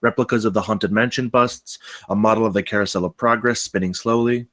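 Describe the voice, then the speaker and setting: Low-voiced man narrating a script. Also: steady tone, fairly quick, a bit bright. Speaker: stage directions reader. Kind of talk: scripted narration at a film table read.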